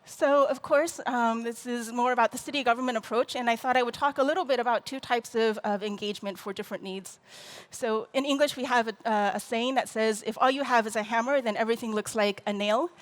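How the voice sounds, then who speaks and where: A woman with a slightly high voice gives a conference presentation. She explains things earnestly, often restarting phrases and pausing with "um". Her delivery is thoughtful and steady. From Taiwan. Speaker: conference speaker.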